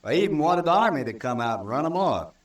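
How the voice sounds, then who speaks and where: Callous cowboy villain. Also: male voice, fairly high pitch, very animated, fast talker. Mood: brazen, sullen. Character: callous, spiteful male cowboy killer, unrepentant. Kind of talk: old-time radio western acting. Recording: distant old radio recording.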